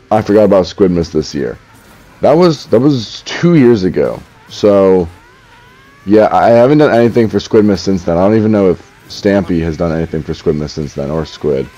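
A young man with a deep, dark-toned voice talks casually through a headset while gaming in his room. He is dry and mildly sarcastic, with frequent stammering restarts, and the audio sounds slightly dull and lo-fi. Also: normal speed. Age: young adult. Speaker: gaming YouTuber reading comments. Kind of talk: casual commentary while playing.

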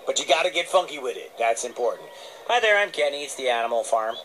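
A man's voice: mid-range voice, very animated, fast, a bit bright, low-quality recording. A man hosting a children's radio show in a casual, playful way. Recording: radio played from tablet, some noise.

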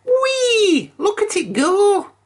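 Squeaky, excitable little pig. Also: extremely slow, full character voice. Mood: gleeful. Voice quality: squeaky, very high. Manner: exclaiming, childlike.

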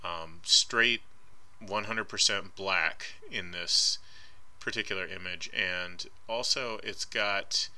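A man's voice: low-pitched, slow, pushed and bright. A man teaching an art class as a voice-over on slides, casual and hedging, with frequent ums. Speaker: art instructor. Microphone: voice-over recorded over slides.

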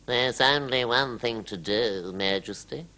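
A man voicing a measured, practical Prime Minister in a fairy tale, advising deliberately. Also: medium pitch, sharp tone, clear, resonant tone, low-quality recording.

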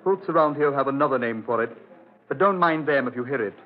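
An actor playing a genial but evasive, weary country doctor with a halting way of talking, in 1940s radio drama style. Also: male voice, mid-range voice, animated, natural voice. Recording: tinny, noisy old radio recording.

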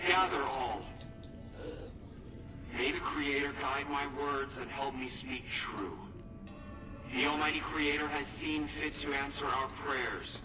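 A man playing a solemn cult prophet, preaching to his followers in a grand, reverent, measured manner in a video game. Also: mid-range voice, over music, natural voice. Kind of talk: ceremonial sermon-like speech. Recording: echoey, distant, noisy hall.

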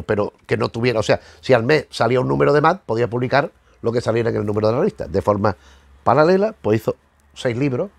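A man's voice: medium pitch, creaky, darkish tone, no room sound, clean studio sound. A middle-aged man with a Spain Spanish accent, explaining informally to camera in a rambling, conversational way, often circling back to rephrase. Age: middle-aged, 50s. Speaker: host of a retro comics channel. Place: small indoor room lined with bookshelves.

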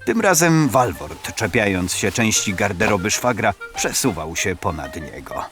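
A male narrator tells a fantasy story in a low, animated voice. The delivery is scripted, measured and dramatic, with clear music playing behind. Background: background music.

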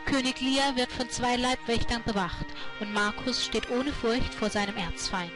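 A woman's voice: a little high, normal speed. A woman narrator reading scripted narration for an audio drama. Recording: loud music under the voice.

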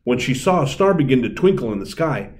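A middle-aged man reading a children's story aloud in an expressive, deliberate way. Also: mid-range voice. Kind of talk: storybook read-aloud, bilingual. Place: indoor room, seated at a table.

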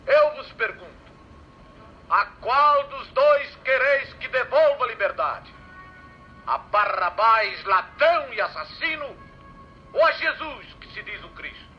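Imperious Roman governor, sweeping dramatic pitch, declamatory 1950s radio acting, dull vintage recording. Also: male voice, expressive, slow, soft background music, heavily acted. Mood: stern oratory. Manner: grandiose, declamatory, theatrical.

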